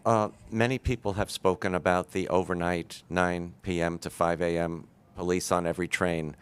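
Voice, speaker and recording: Male board member, low, smooth voice, asking a pointed question. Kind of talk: asking a question at a public meeting. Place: boardroom meeting.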